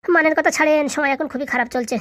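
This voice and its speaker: Hard-up, resentful comedy-cartoon village labourer, acted by a woman: grumbling at a very rapid pace. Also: sits high, steady tone, fairly bright tone, no echo, studio quality. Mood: bitter, aggrieved. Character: aggrieved village labourer down on his luck.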